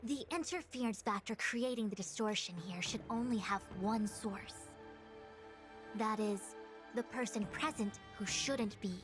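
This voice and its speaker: A lofty, composed diviner, played by a woman, with a fairly high voice. She speaks in formal, measured sentences with a stern edge, as in an anime game, over soft music. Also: close to an everyday voice. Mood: calm, instructive. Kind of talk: anime-style game voice acting. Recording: music behind the voice.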